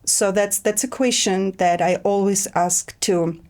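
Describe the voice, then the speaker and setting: A woman from Armenia hosting a podcast interview, asking guests reflective questions at an unhurried pace. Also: medium pitch, darkish tone. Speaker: podcast host.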